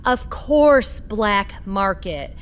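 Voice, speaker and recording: Slow, swooping, sardonic woman fixer. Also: medium pitch, smooth. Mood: matter-of-fact, reassuring. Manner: sardonic, unhurried, expressive. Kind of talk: audio fiction acting, noir sci-fi.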